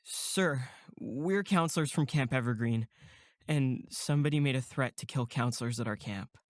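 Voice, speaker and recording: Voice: medium pitch, moderate pace, no room sound, clean studio sound, natural voice. A voice actor playing an earnest, casual young male camp counselor in a horror audio drama. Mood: earnest, frustrated.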